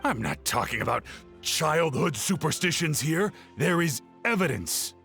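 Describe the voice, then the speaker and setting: A man playing an earnest, excitable young researcher in a video game, explaining things insistently. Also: on the high side, measured pace, vocal fry, faint music behind, exaggerated voice.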